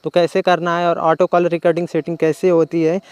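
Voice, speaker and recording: An Indian man hosts an informal tech tutorial in a high, smooth voice. He explains step by step, often restating an instruction in simpler words. The recording is close and dry, with very little room sound. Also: monotone, brisk, darkish tone, no echo. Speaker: tech channel host. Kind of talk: informal phone tutorial narration.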